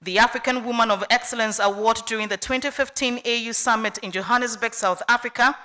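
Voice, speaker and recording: Bright-voiced woman reading a formal citation. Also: on the high side. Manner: measured, deliberate list-by-list delivery. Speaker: ceremony orator reading a citation. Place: university lecture hall.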